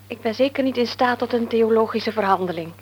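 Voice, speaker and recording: A woman's voice: a little high, brisk, muffled recording, slight background noise, lightly acted. An actress playing a middle-aged devout mother in a radio play, pleading and often dropping to a whisper. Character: devout mother, gentle but firm in faith, middle-aged woman. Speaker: mother and wife.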